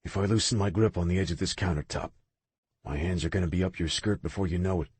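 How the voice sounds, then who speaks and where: A man voicing a brooding male vampire with a low, rasping, intimate delivery in an audiobook. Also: fast, darkish tone, dry recording. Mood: husky. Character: brooding male vampire warrior, grave and rough.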